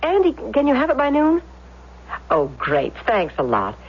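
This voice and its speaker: A chatty, no-nonsense housewife from a small town, in radio drama style. Her voice is husky and animated. It comes through on a slightly dull old broadcast recording. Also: sits high, heavily acted. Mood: brisk, fond. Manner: brisk, chatty. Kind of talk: 1970s radio drama acting. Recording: muffled vintage radio broadcast.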